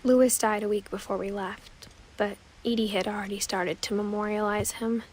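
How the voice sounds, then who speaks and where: A woman's voice: mid-range voice, steady tone, moderate pace, a little hiss or hum, lightly acted. A woman voicing a wistful young narrator. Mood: reflective. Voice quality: soft, reflective, often drops to a whisper. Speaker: game narrator. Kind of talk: scripted narration. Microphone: studio voice-over.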